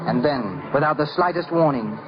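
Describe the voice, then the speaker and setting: High-pitched male radio storyteller over music. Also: lively intonation, normal speed. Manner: theatrical, lyrical delivery with dramatic emphasis. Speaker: narrator. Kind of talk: 1950s radio scripted storytelling narration. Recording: dull old radio recording. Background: prominent music.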